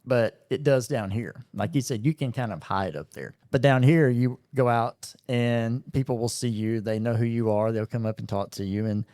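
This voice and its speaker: Middle-aged radio host in casual storytelling. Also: male voice, medium pitch, moderate pace, hardly any pauses, no room sound, studio quality. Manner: relaxed storytelling with frequent fillers. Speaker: radio morning show host and operations manager. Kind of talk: casual interview chat.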